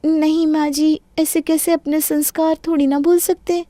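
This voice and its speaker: A woman's voice: sits high, little pitch movement, normal speed, mellow, no echo, studio quality, close to an everyday voice. A woman voicing a sly, sweetly apologetic young daughter-in-law in a Hindi cartoon story. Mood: mock innocent. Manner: mock-innocent, pleading, sugary.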